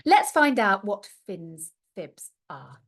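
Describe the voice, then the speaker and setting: A woman's voice: medium pitch, sing-song, slow pace. A woman reading a storybook aloud to young children like a primary teacher, expressively and with little asides to her listeners.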